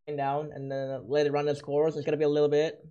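A livestreamer chatting casually with viewers, voice hoarse and cracking from overuse, gushing thanks in an excitable, self-mocking way. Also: mid-range voice, quick pace, highs cut off. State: hoarse, losing voice. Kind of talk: casual stream chat with viewers.

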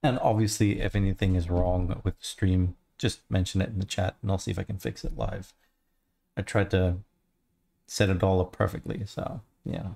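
Man, 30s, mixed Australian-Irish-American accent, gravelly, mellow tone, casual livestream chat. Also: sits low, moderate pace. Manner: relaxed, rambling, answers chat questions. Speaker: livestreamer, 3D artist. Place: home studio, indoors. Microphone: headset.

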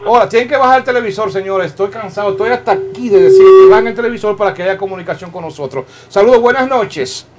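A Dominican man in his forties hosting a call-in TV show from a small studio, brisk and emphatic as he greets callers and directs his crew. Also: high-pitched. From Dominican Republic. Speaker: TV talk show host taking calls. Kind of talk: call-in TV talk.

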